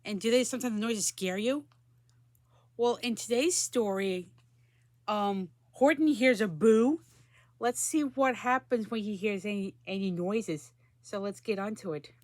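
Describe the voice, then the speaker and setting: A woman's voice: mid-range voice, a little slow, darkish tone, dry recording. A woman hosting story time, introducing things casually and simply for children.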